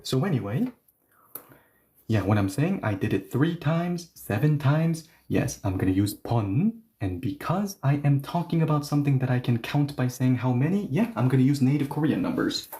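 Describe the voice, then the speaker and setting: A man giving an online language lesson with a mid-range voice, neither high nor low. He explains patiently, leans on "okay, so" and "yeah", and moves between explanations and slow, careful example readings. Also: expressive, warm tone, a little hiss or hum. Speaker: Korean language teacher.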